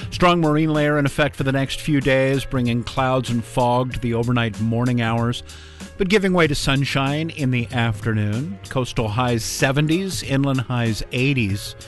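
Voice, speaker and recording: A male radio announcer at a middle pitch reads a segment promo and a local weather forecast in a crisp, brisk style. Soft music plays quietly underneath him. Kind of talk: scripted news promo and weather report.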